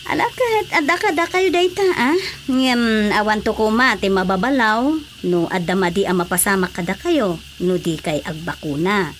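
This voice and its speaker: A woman's voice: medium pitch, animated, normal speed, natural voice. A woman playing a concerned, persuasive village councilor in an Ilocano Filipino radio drama, coaxing and insistent. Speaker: voice actor. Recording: faint background noise.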